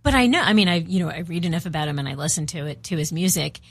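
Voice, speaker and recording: A woman co-hosting a music podcast, chatty and quick to laugh. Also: deep voice, lively intonation, breathy edge. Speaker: podcast co-host. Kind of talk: casual music chat.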